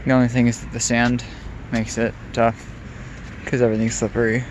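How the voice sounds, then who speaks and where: A young man, an exchange student, chatting casually in a vlog, rambling and hesitant with many pauses. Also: medium pitch, a little hiss or hum. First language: English. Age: young adult. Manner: relaxed, rambling, many ums.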